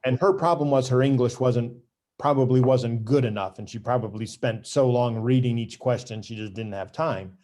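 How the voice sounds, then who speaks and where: A man who teaches finance, telling a story in a relaxed, measured way. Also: medium pitch. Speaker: CMA instructor. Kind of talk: casual storytelling conversation.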